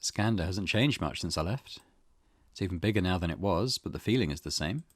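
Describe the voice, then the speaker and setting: A man's voice: deep voice, expressive, brisk, no echo, clean studio sound. A man hosting a let's play, musing casually and reading game text aloud in a conversational way. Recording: faint background noise.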